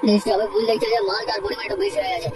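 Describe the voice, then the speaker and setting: A woman voicing a thuggish, cowardly male village villain in a horror cartoon, rough-talking and prone to stammering. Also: fairly high pitch, expressive, very fast, natural voice. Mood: terrified. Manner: swearing, stammering in fright. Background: music.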